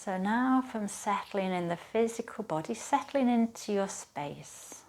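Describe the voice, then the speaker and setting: A woman speaking as a yoga teacher, guiding a relaxation practice in a soothing, gentle, unhurried manner with pauses. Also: medium pitch, expressive. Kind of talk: guided relaxation, yoga nidra instruction.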